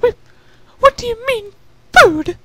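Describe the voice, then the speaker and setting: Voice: very high-pitched, lively intonation, slow pace, sharp tone, a little hiss or hum, pitched above the performer's own voice, exaggerated voice. A performer voicing a polite, refined and timid young female unicorn pony with a theatrical manner. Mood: fearful.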